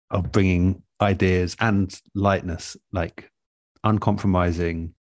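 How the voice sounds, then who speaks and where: A man speaking as an interviewed radio producer in a relaxed, reflective way, thoughtful and measured, often pausing mid-phrase. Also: low voice, no room sound.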